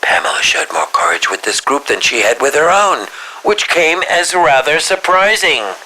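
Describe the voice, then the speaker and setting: Creepy pompous horror-loving game villain, highish voice that swoops around, deliberate and theatrical. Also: male voice, big pitch swings, bright, forceful tone, heavily acted, rough recording. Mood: grim musing. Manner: ornate, deliberate, theatrical, breathy dips into near-whisper. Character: sinister, pompous male filmmaker villain, coolly calculating connoisseur of horror. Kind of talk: scripted villain monologue for a video game.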